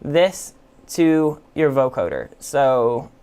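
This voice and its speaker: A man giving a casual, conversational software tutorial as the host. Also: medium pitch, sing-song, drawn-out words, clean tone. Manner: informal, conversational. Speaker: music production tutorial host. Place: indoors at a computer.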